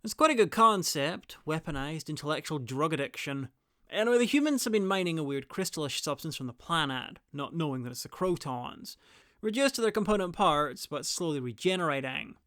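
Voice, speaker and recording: A man narrates a scripted review with wry, opinionated asides. His voice is fairly high, smooth and crisp, in a very dry recording. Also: expressive, brisk, a bit bright, no echo. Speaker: review host.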